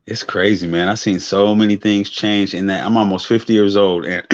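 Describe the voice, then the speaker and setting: A man's voice: fairly low pitch, fairly even intonation, normal speed, no echo. A man in his forties chatting casually on an online show, laid-back and rambling, swearing now and then. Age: 40s. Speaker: co-host. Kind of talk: casual chat. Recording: dull lo-fi sound.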